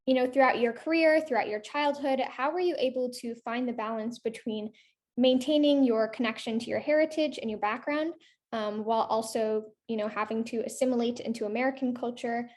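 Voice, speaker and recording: A woman's voice: fairly high pitch, fairly even intonation, a little fast, a little mellow. A teenage girl interviewing a guest, asking polite questions with many likes and ums. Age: teens. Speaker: interviewer for a youth organization.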